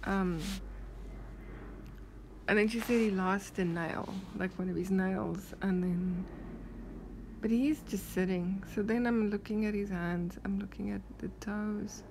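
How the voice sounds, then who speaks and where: A woman with a South African accent rambling softly through a strange dream, often half-whispering and hesitating with many fillers. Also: medium pitch, steady tone, measured pace, creaky, a little hiss or hum, rough recording. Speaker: vlogger.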